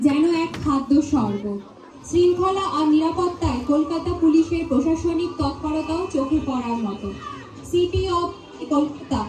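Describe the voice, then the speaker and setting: A woman's voice: sits high, a little mellow. A woman giving scripted narration as a narrator. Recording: echoey, distant, faint music behind.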